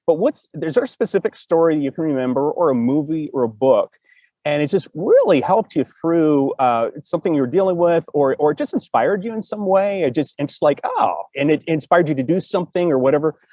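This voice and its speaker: A man's voice: fairly high pitch, lively intonation, a little fast, mellow, no room sound. A man hosting a casual, unscripted interview, asking questions in an informal, rambling way. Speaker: talk show host.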